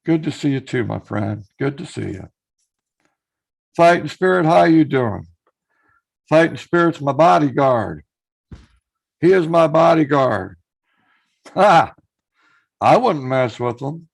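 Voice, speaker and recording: A hoarse man chats on his live stream. His gravelly voice rises and falls a lot, and he greets his viewers by name as he reads out their messages. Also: fairly high pitch, lively intonation, slow, pauses often.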